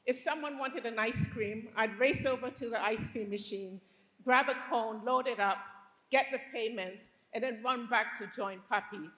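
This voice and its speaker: Brief: Liberian-born woman, earnest live storyteller, higher pitch, long hall reverb. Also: normal speed, a bit bright. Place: stage before a live audience.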